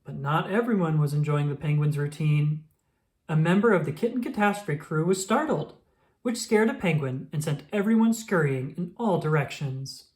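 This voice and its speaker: A woman reading a children's picture book aloud in an expressive storytelling manner. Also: low-pitched, normal speed. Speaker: storybook reader.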